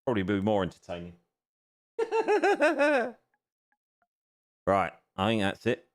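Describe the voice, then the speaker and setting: A man's voice: on the low side, huge pitch swings, slow. A man in his fifties chatting casually on a live stream while seated at a home racing simulator, self-deprecating and chuckling often. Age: 50s.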